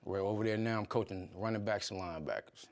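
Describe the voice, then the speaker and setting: A man's voice: on the low side, quick pace. A middle-aged man from Virginia, a football coach chatting casually as an interview guest in colloquial speech. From Virginia, United States. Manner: colloquial, peppered with "you know". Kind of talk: casual chat.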